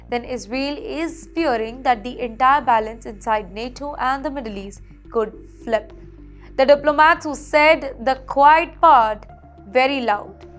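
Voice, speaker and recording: A woman anchors a news segment in a high, bright-sitting voice. She hits each short phrase hard and leans on rhetorical questions for drama. Soft music plays faintly beneath her. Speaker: news presenter.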